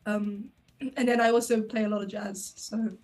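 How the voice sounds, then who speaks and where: A woman in a band, a keyboard player, answering interview questions in a soft, somewhat hesitant way. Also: medium pitch, flat delivery, moderate pace, soft background music. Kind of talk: casual interview chat.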